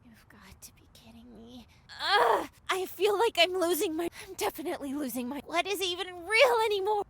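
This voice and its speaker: A woman's voice: very high-pitched, expressive, moderate pace, creaky, fairly bright tone, voice pushed up, exaggerated voice. A woman voicing a distressed young visual novel heroine, crying out in desperate panic. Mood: distraught, disbelieving.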